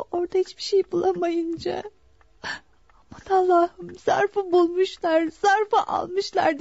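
An actress playing a devoted, frightened and emotionally fragile young daughter in a dramatic radio play. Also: female voice, extremely high pitch, creaky, mellow, no room sound, highs cut off, exaggerated voice.

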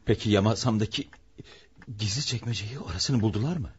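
A man's voice: fairly low pitch, brisk, breathy edge, highs cut off. An actor playing a shaken, frail and desperately anxious older father in a dramatic radio play. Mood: panicked.

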